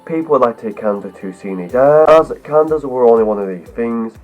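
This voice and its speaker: Man, head cold, mid pitch, rambling video talk, faint music behind. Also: animated, brisk, a little mellow, lo-fi sound. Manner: rambling, strings clauses together with "as". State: has a cold, voice deeper than usual. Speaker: video host. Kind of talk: scripted talk to camera.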